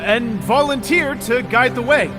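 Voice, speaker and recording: Excitable young guy, extremely high pitch, flustered, exclaiming, video game cutscene, clear music underneath. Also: measured pace. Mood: nervous bluffing. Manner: frantic, stammering, exclaiming. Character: excitable, flustered, eager young male disciple.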